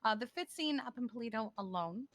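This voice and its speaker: A woman improvising in game roleplay as a matter-of-fact, wry character who recounts things haltingly. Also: on the high side, lively intonation, fairly bright tone, no echo. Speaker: role-player in a live-streamed online game.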